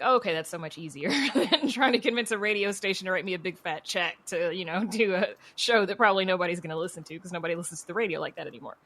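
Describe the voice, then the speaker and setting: Low-pitched woman chatting casually. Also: a bit bright. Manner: informal and chatty, laughs easily while telling stories. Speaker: podcast creator and writer being interviewed. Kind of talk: casual conversational interview answers.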